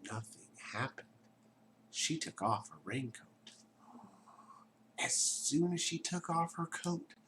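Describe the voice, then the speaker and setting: A man reading a children's story aloud in a theatrical way, dropping into hushed tones and chatting to his young listeners. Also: a little high, gravelly, a little hiss or hum.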